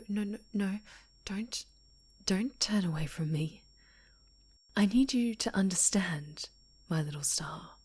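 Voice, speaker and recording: Woman playing a breathy, obsessive dark superhero villainess. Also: lowish voice. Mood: possessive longing. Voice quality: breathy, whispery. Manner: slow, deliberate, pleading possessiveness. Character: obsessive, possessive dark-winged female superhero villain, menacingly tender. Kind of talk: ASMR roleplay monologue, theatrical voice acting.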